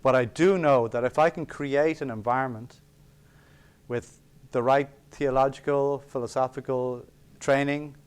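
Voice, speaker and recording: A man lectures in an auditorium in a smooth, resonant voice pitched in the middle range. He speaks earnestly and thoughtfully, pausing to gather his ideas and adding wry, self-deprecating asides. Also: fairly even intonation. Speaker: sculptor giving a lecture. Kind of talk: public lecture on sacred art. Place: college auditorium.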